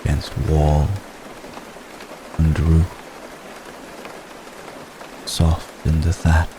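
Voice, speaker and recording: A man playing an ancient, brooding, remorseful vampire gentleman in an intimate ASMR roleplay, speaking formally and solemnly with long, measured pauses. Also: rumbling low, heavily acted. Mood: somber, wistful. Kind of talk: ASMR roleplay, intimate slow dramatic monologue. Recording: steady rain noise behind the voice. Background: rain.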